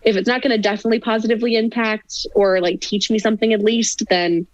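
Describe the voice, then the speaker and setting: Animated woman guest, dry lo-fi sound. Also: fairly high pitch, fast, no room sound. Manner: animated, laughing, many restarts. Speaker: podcast guest, singer and actress. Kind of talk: casual interview chat.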